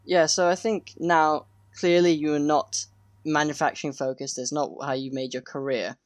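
A man's voice: fairly high pitch, level tone, normal speed, no echo. A young man, a student, hosting a podcast interview in a polite, careful way with hedging fillers.

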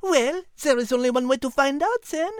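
A woman's voice: high-pitched, moderate pace, dry recording, clean studio sound, natural voice. A woman playing a refined, thoughtful female animal with a French accent, co-hosting a children's story podcast. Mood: sweet, thoughtful. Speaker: co-host.

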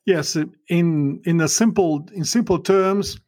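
A man's voice: high voice, slow, darkish tone, dry recording, studio quality. A man from Brazil with a Brazilian Portuguese accent, a guest on a podcast interview who speaks in an emphatic, fervent preaching tone and often restarts his sentences.

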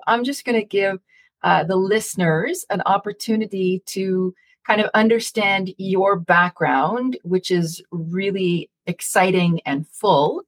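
A woman hosts a career chat as a career development practitioner. She talks in a chatty way, often hedging with "kind of". Her voice sits at an ordinary pitch for a woman. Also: low-quality recording. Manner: chatty, with many uses of "kind of". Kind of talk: career interview.

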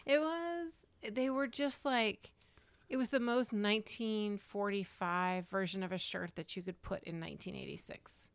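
A woman's voice: medium pitch, moderate pace, gravelly, clean tone, dry recording. A woman co-hosting a casual podcast chat, chatty and enthusiastic, trailing off into fillers. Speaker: podcast co-host.

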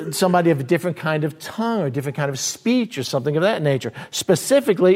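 A man preaching a teaching sermon, speaking with animated swings between fast bursts and slow, emphatic words. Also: a little high. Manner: animated preaching with sudden swings in pace and loudness. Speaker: pastor.

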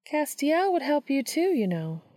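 Woman reader as female professor, high-pitched, encouraging, insistent, warm mentor, storybook reading, close dry sound. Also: sing-song, a little slow, no echo. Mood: gently coaxing. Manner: coaxing, insistent.

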